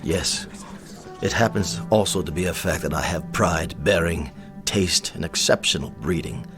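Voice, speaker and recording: A man playing a proud, aristocratic colonel in a radio drama, bitter and brought low, speaking in a formal, halting, pompous way. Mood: bitter, humbled. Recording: music playing under the voice.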